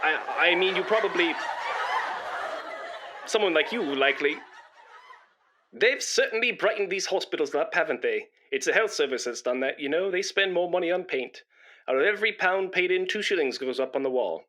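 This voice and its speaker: An actor in a comedy radio play, playing a pompous, self-righteous, boastful busybody who sounds self-important and wheedling. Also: male voice, fairly high pitch, expressive, moderate pace, pushed and bright. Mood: indignant, needling.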